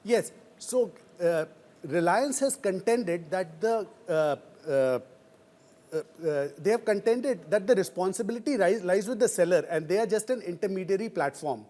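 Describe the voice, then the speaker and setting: A man with an Indian accent explaining a story as a news reporter in a hesitant, halting way. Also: sits high, lively intonation, dark tone. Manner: hesitant, halting delivery with frequent pauses.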